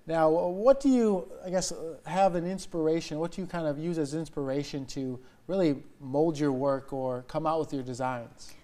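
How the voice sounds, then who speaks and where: The man at the morning news desk, American, voice sitting somewhat high as he chats with a local guest. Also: moderate pace, hardly any pauses, dark tone. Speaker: TV news anchor interviewing a guest. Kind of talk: morning news interview, conversational. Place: TV news studio.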